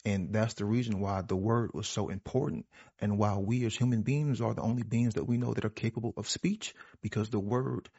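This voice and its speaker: Husky low-voiced man, earnest and preachy. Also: fairly even intonation, fairly quick, darkish tone. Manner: earnest, preachy, repeats phrases for emphasis. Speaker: panel speaker. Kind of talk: reflective spiritual talk. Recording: roomy, dull lo-fi audio.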